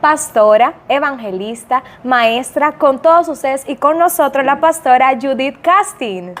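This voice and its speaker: Woman host, upbeat church interviewer, slightly high voice, faint noise. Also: measured pace, fairly bright tone. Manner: upbeat, churchy affirmations like "poderoso". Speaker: podcast host. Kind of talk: podcast interview hosting.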